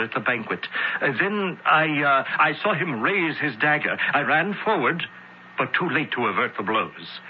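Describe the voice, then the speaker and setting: Man playing scheming solemn high priest, measured, muffled old radio drama with a bit of hiss. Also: lightly acted. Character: scheming Egyptian-temple high priest giving smooth testimony. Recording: dull radio recording, faint hiss.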